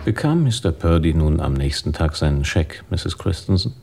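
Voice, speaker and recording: An actor in a radio drama playing a calm police inspector, polite but authoritative in his methodical questioning. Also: very deep voice, normal speed, gravelly, over loud music, heavily acted. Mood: polite, probing.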